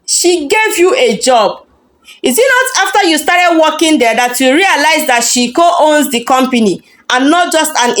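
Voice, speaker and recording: A woman voicing a blunt male friend in a story, lecturing reproachfully. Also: sits high. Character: blunt, scolding man, loyal friend to a wronged woman.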